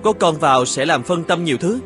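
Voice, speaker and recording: Casting: evasive, courteous artist husband for animated folktale, fairly high male voice, hesitant delivery. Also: a little fast, soft background music. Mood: reluctant. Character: married painter, earnest, evasive adult Japanese man.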